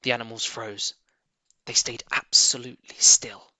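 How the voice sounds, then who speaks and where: A man reading a children's story aloud with expressive narration. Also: mid-range voice, normal speed, dry recording, low-quality recording. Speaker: audiobook reader.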